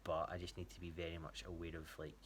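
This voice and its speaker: Low-pitched Scottish man, casual update. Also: monotone, fast talker, a little hiss or hum. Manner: hesitant, rambling. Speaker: athlete giving a training check-in to a coach.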